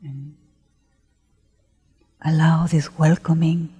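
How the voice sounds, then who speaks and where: A woman leading a guided meditation as a teacher, gentle and unhurried, pausing often between short phrases in a breathy voice. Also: low voice, level tone. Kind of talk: guided meditation, spoken slowly to listeners. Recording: long hall-like reverb.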